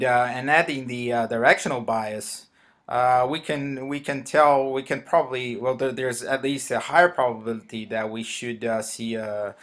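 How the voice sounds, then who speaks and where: Man, Brazilian Portuguese accent, non-native English, mid pitch, hesitant, many fillers, trading walkthrough. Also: fairly bright tone. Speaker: forex trader. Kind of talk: screen-recorded trading walkthrough.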